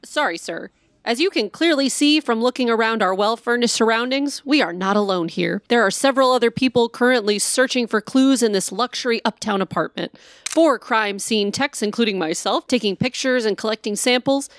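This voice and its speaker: A woman's voice: a little high, brisk, no echo, clean studio sound, lightly acted. A woman playing an earnest, literal-minded crime scene technician in a comic noir radio play, matter-of-fact and over-detailed.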